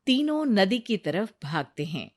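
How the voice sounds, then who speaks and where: A woman narrator reads a scripted moral story. Her pace is slow and measured, with a middling pitch. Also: very animated.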